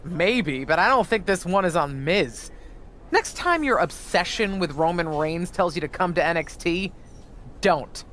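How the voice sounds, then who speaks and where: Blunt resentful coworker in a wrestling game, middle pitch, accusing. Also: expressive, a little slow, fairly bright tone, slight background noise. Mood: reproachful. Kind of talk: video game cutscene acting.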